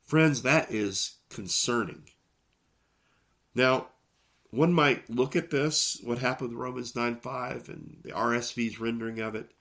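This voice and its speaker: A man hosting a solo podcast on Bible texts, his voice gravelly from a recent illness, speaking in an earnest, measured way with emphasis on key words. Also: medium pitch, fairly bright tone. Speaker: podcast host and preacher.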